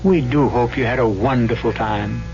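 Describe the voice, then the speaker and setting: A man hosting a radio mystery anthology, narrating theatrically in a wry, measured way over music. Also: medium pitch, noisy background. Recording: muffled old radio broadcast with music.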